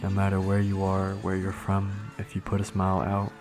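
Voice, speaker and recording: Casting: deep, flat, earnest male narrator from Vietnam, voice-over under loud music. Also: normal speed. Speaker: documentary narrator. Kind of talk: reflective documentary voice-over.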